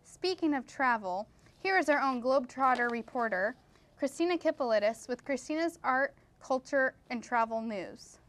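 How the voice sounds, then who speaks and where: A woman's voice: high-pitched, lively intonation, moderate pace, fairly bright tone. A woman anchoring a scripted mock newscast, introducing reports in news-anchor style. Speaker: news anchor in a college's comedic promo newscast.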